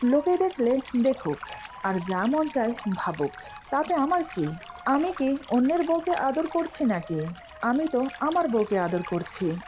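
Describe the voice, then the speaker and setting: A woman's voice: high-pitched, big pitch swings, unhurried, over music. A woman voicing a devoted, teasing young husband in a romantic story, affectionate and coaxing.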